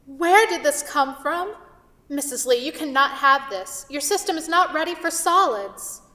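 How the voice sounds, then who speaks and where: A woman's voice: high voice, expressive, measured pace, bright, forceful tone, full character voice. A woman playing a stern, officious hospital nurse with a firm, formal manner in a staged play reading. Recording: echoey hall from far off.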